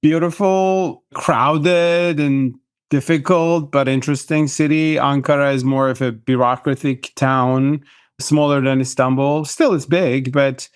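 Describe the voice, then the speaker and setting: A middle-aged man from Turkey, a guest author, answering interview questions in a measured, thoughtful way. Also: mid-range voice, fairly even intonation, clean tone, dry recording, studio quality.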